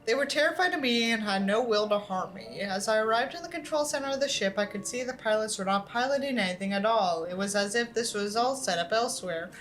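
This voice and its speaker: A woman's voice: a little high, quick pace, few pauses, a bit bright, faint music behind. A woman reading a book aloud as an online creator, with an irreverent, exasperated manner and casual, sweary asides.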